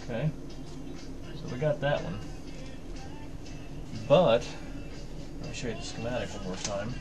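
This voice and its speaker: A man's voice: medium pitch, a little slow, music in the background. Man casually talking through a repair. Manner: folksy, thinking aloud as they work. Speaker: host of a radio repair video. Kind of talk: informal hands-on electronics repair walkthrough.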